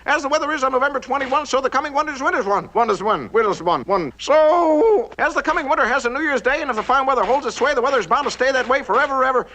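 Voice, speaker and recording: An excitable cartoon forecaster, pitched extremely high and racing along. The delivery is a sing-song recital of rhymes, with faint noise in the recording. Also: male voice, quick pace, few pauses, full character voice, low-quality recording. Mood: excited, rattling off.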